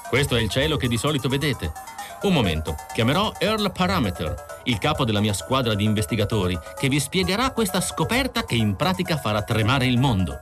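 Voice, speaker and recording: A man's voice: medium pitch, sing-song, brisk, over music, voice pushed up, natural voice. A man voicing a gushing, self-important television showman with a theatrical, emphatic announcer delivery, in an audiobook story. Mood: excited, showy.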